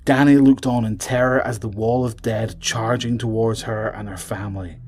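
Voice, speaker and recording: A man narrating a scripted horror story in a measured, suspenseful way, trailing off at the ends of phrases. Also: fairly low pitch, steady tone, darkish tone.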